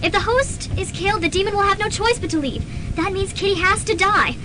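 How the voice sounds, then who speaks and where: A grim college girl acted by a woman, set on her course and unbending. Her voice is extremely high, in a student radio serial with clear background noise. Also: a little fast. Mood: resolute. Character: grim, resolute college girl possessed by ghost. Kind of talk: student radio drama acting. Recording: noisy old student radio recording.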